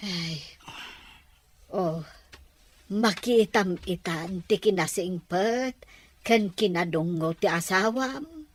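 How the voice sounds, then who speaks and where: Woman voicing concerned older mother, Filipino accent, unhurried, fond, audio drama. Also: lowish voice. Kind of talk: Ilocano audio drama acting.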